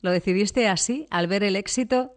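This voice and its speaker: A woman radio interviewer asking measured, friendly questions with some fillers. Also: medium pitch.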